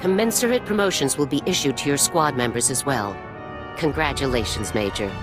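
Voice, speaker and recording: An actress playing a formal, authoritative army general in a video game, speaking in a measured, official manner. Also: female voice, rumbling low, lively intonation, music in the background. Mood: formal approval. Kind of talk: video game cutscene acting.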